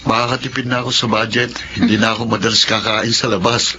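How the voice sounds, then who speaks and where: A man's voice: fairly low pitch, level tone, quick pace, close to an everyday voice. A man playing a cheerful, teasing young accountant who is a younger son and brother in a radio drama. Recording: dull lo-fi audio, hissy noise.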